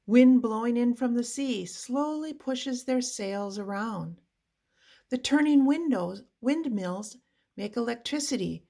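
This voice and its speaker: A woman reading a children's picture book aloud with deliberate, expressive phrasing for young listeners. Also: medium pitch, creaky. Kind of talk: read-aloud children's storybook narration.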